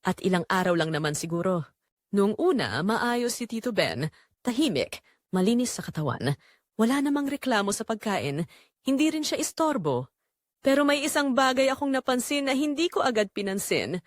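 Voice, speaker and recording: A woman's voice: fairly high pitch, very animated, normal speed, no room sound, clean studio sound. A woman with a Filipino accent playing a lonely newlywed wife, confiding earnestly in first-person audio drama narration. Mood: uneasy, reflective. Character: lonely young newlywed wife confessing her secret.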